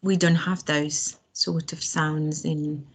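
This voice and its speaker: A woman's voice: low-pitched, flat delivery, takes it slowly, husky. A young woman from Spain, a language student chatting as a podcast guest, hesitant with many fillers and restarts. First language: Spanish. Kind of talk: casual podcast conversation.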